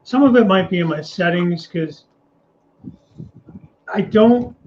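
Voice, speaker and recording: Rough-voiced, mumbling man on a stream. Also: sits high, takes it slowly, a little mellow. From United States. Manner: casual, reads out viewer comments. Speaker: live stream co-host. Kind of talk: casual live chat. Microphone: home desk mic on a live stream. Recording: muffled stream audio with faint noise.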